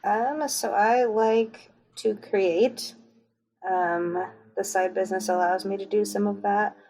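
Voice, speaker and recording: A woman from Kansas co-hosting a book podcast, chatting casually with frequent pauses and ums. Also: mid-range voice, low-quality recording. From Kansas, USA. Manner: relaxed, unhurried with frequent ums. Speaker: podcast co-host. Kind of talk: casual chat.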